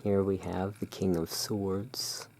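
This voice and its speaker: A low-voiced ASMR host plays the keeper of a dark gothic emporium. The delivery is very slow and soft, with long pauses between short phrases. The tone is mellow, with a gravelly fry running through it. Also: level tone, poor audio. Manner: unhurried, hushed, pausing between short phrases. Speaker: ASMR roleplay host as curiosity emporium owner. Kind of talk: soft-spoken ASMR tarot card showing.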